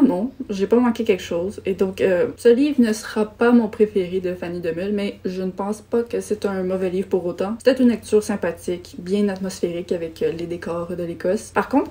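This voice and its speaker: A woman's voice: medium pitch, dark tone. A young adult woman with a Quebec French accent, chatting casually as she reviews books for her vlog, self-deprecating and given to small rants. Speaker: book vlogger.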